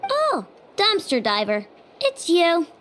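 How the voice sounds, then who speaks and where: A stubborn little girl inventor in an anime game dub, high-pitched and expressive. She is earnest and childishly blunt, with music prominent behind her. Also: slow pace, heavy background noise, heavily acted. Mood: indignant. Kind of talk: English anime game voice acting.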